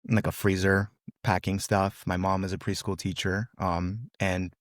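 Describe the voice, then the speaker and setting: A man's voice: deep voice, flat delivery, normal speed, no echo, studio quality. A man in his twenties, a native Russian speaker raised in California, telling his story as a podcast guest in an earnest, casual way, full of "um" and "brother". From USA, California.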